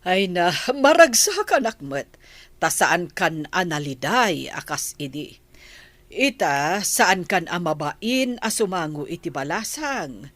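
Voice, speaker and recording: A woman's voice: fairly low pitch, sing-song, takes it slowly, fairly bright tone, no echo, exaggerated voice. A woman acting in an Ilocano radio drama as a fond, chiding old grandmother. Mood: fond, pleased. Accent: Filipino, Ilocano.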